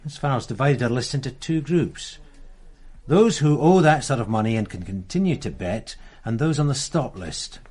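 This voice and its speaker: An easygoing police sergeant. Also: male voice, mid-range voice, expressive, moderate pace, a little mellow, breathy edge. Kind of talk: radio drama acting.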